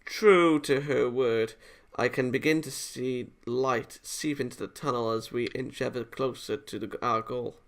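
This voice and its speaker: A man reading visual novel narration aloud as a let's player, in an expressive, dramatised way. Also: medium pitch, a little slow. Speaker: video game let's player.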